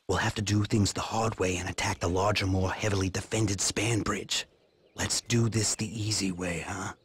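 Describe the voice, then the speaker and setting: An actor playing a sardonic lieutenant giving his men a brisk briefing in a war video game. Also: fairly low pitch, husky, no room sound. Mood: wry, brisk. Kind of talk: video game cutscene acting.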